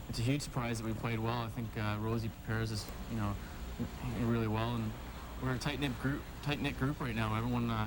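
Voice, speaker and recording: Male athlete from Canada in a casual interview, rapid, modest and halting, noisy backdrop. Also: mid-range voice. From Timmins, Ontario, Canada. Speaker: hockey player, team captain interviewed. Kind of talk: casual sports interview answers.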